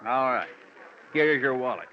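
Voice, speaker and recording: An actor playing a gruff, suspicious small-town sheriff in a 1950s radio drama. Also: male voice, mid-range voice, animated, unhurried, lightly acted. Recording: dull old radio recording with faint hiss.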